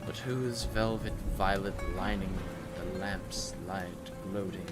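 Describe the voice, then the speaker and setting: A man reads a Gothic poem in a fairly low voice. His delivery is very slow and theatrical, lingering between phrases, with music clearly playing behind him. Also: steady tone. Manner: measured, theatrical, with long pauses. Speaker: poetry reader. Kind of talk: dramatic reading of a Gothic poem.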